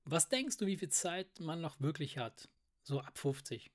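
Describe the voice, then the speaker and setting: A man in his fifties co-hosts a podcast in casual conversation. His voice sits a little higher than most men's, and his manner is jokey and coarse. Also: lively intonation, moderate pace. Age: 50s. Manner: jokey, crude humour. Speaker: podcast co-host. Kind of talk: casual chat.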